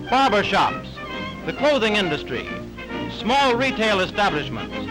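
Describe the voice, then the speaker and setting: A man's voice: high-pitched, rough recording. A man narrating a 1930s newsreel in an emphatic, declamatory style, on a vintage soundtrack. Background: loud newsreel music.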